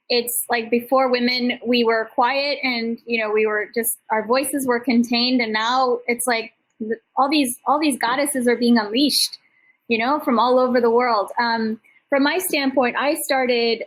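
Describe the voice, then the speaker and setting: Encouraging woman with a higher-pitched voice. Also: poor audio. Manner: encouraging, effusive, conversational and rambling. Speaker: host and founder speaking at an online summit panel. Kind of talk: informal motivational panel talk with personal anecdotes.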